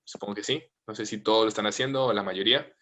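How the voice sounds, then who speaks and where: A priest in his thirties with a mixed Latin American and Spanish accent, praying and then talking gently and informally over a video call. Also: lowish voice, fairly even intonation, quick pace. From United States, family from Colombia. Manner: gentle, informal, with frequent fillers.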